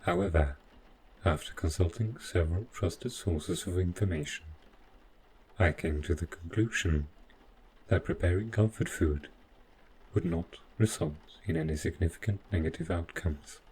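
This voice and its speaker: A man playing a devoted, overprotective robot butler in a scripted audio roleplay, speaking in formal, measured, literal phrases. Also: low-pitched, darkish tone, a little hiss or hum, lightly acted, low-quality recording. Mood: dutiful, precise.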